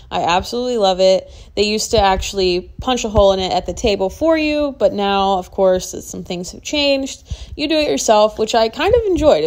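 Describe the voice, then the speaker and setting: A woman's voice: medium pitch, a little mellow. Enthusiastic woman narrating a vlog. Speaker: vlog host. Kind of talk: casual voice-over narration.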